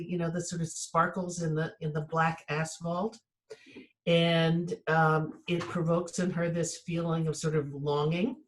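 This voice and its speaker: A woman who teaches writing, talking through a personal memory in a reflective, measured way. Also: lowish voice, rough recording. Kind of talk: casual conversation.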